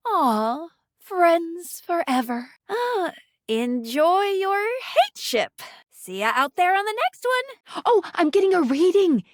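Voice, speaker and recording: A woman's voice: extremely high pitch, very animated, slow, no echo, studio quality, full character voice. A woman playing a perky, slangy, helpful game guide with a chirpy, playful delivery. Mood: playful, reassuring. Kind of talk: video game character acting.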